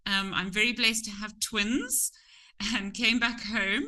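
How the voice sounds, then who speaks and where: Zimbabwean lady being interviewed, bright clear tone, talks openly. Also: mid-range voice, takes it slowly. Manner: open and chatty, some restarts. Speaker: tour company owner, guest.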